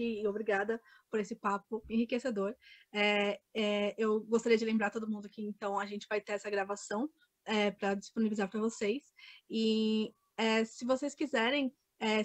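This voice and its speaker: A woman's voice: mid-range voice, no room sound. A woman moderating an online panel over a video call, talking in a hesitant way with many fillers. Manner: hesitant, filler-heavy wrap-up.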